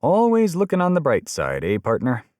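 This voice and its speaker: A man voicing a wry, exasperated cop partner, higher pitch. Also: wildly swooping pitch, moderate pace, darkish tone, no echo, clean studio sound. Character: bearded male police partner, exasperated, wryly sardonic.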